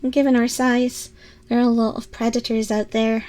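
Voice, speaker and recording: A woman's voice: a little high, level tone, moderate pace, a little mellow, lightly acted. A woman playing a tiny, furry haggis girl in an ASMR roleplay, a timid, anxious scavenger who speaks hesitantly, stammering and apologising as she pleads with the listener. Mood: nervous.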